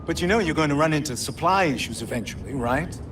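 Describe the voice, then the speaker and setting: An actor playing an easygoing, helpful tech supplier in a game cutscene, friendly and conversational. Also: male voice, fairly high pitch, expressive, quick pace, background noise, natural voice. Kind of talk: video game cutscene acting.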